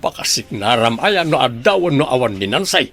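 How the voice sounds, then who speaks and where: A man's voice: mid-range voice, very animated, moderate pace, fairly bright tone, soft background music. A man playing an irritable, scornful brother-in-law in a radio drama, with a Filipino accent. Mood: irritated, scornful.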